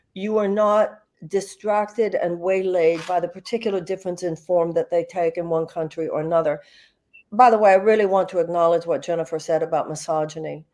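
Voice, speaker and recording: A woman's voice: on the low side, mellow. An older woman from the United States, speaking earnestly and reflectively as a guest on an online panel, with many fillers and restarts. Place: video call.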